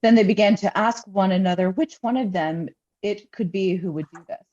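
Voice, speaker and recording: A woman reads scripture as a pastor would, in a solemn liturgical style with measured, deliberate phrasing. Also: medium pitch, warm tone, muffled recording.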